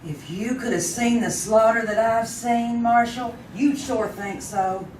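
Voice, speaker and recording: A woman's voice: fairly high pitch, a little slow, a little mellow, close to an everyday voice. An actress playing a weary, plainspoken older frontier woman with a rural Southern American hill accent, curt and blunt, in a 1950s Western radio drama. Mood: grim, worried. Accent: American rural Southern hill country. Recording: roomy, distant, hissy old radio recording.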